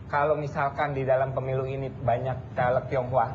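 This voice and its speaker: A man delivering formal, speech-like answers as a guest in a televised debate. The dull archive TV sound carries a clear, steady hiss behind him. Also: medium pitch, a little fast.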